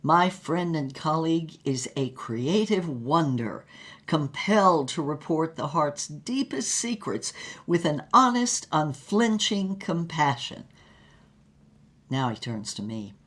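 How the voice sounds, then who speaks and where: A flamboyant actress of an audio drama, reading her diary aloud. Her delivery is very slow and measured, with florid, savored phrasing and a grand, rapturous temper. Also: very deep voice, exaggerated voice. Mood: reverent, fervent.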